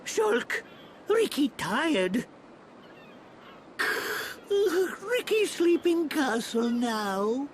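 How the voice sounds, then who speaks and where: A tiny furry creature, very high and swooping, drags out simple childlike phrases. It is a cute fantasy game character over faint noise. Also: female voice, sing-song, very slow, pauses often, exaggerated voice. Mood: tired. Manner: babyish third-person speech. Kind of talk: fantasy video game acting.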